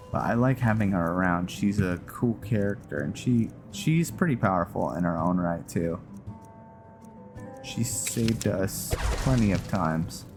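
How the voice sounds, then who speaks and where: A man hosting a roleplay game playthrough, giving casual, low-key commentary as he thinks aloud. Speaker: gaming video host. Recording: loud game music behind the voice. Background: video game music.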